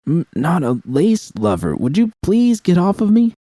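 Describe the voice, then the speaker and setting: A man voicing a groggy, foul-mouthed young woman who talks haltingly in an audiobook story. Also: sits high, sing-song, mellow, dry recording, clean studio sound, voice pushed up, heavily acted. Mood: protesting. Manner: halting, swearing.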